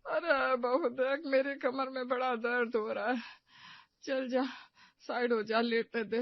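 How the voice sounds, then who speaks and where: A woman's voice: on the high side, steady tone, a little slow, dry recording, lo-fi sound. A woman playing a bossy, theatrical middle-aged mother-in-law in a cartoon story, nagging with sarcasm and melodrama. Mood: theatrically pained. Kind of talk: animated moral-story cartoon acting.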